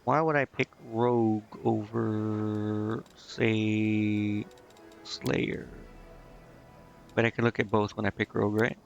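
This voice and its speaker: A man's voice: lowish voice, little pitch movement, slow, creaky, muffled recording. A man doing casual video game commentary as a streamer, thinking aloud in a rambling, hesitant way. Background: game music.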